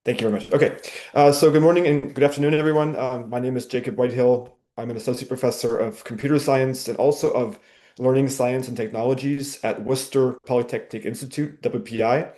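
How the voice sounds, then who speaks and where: Male professor, mellow tone, measured explainer, webinar call. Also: medium pitch, steady tone. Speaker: university professor giving a webinar talk. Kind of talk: presentation over a webinar. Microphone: video call.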